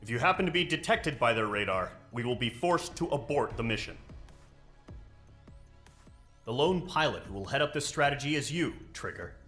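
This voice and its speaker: A stern military officer, played by a male actor, gives a game mission briefing in a mid-range voice. He is formal, measured and businesslike. Music sits underneath. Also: mid-range voice, lively intonation, a bit bright, natural voice.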